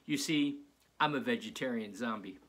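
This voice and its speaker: A man's voice: medium pitch, moderate pace, a bit bright, husky. A man voicing a friendly, chatty second-grade vegetarian zombie boy in a confiding storybook narration.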